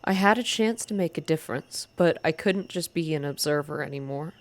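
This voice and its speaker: Low-pitched woman reading a story, ASMR style. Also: a little fast. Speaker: reader of a visual novel game. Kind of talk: soft ASMR reading, scripted story narration with voiced dialogue.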